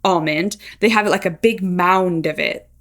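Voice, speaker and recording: A woman's voice: lowish voice, a little slow, a bit bright. A woman co-hosting a food podcast, chatting casually and explaining recipes with many fillers. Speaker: podcast co-host. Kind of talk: casual chat.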